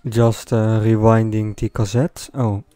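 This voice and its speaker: A man's voice: lowish voice, flat delivery, warm tone, clean tone, no echo. A man giving casual, hesitant commentary as he works. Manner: hesitant, halting. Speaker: hobbyist film slide restorer. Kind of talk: casual live commentary while working. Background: cassette music and narration, scanner.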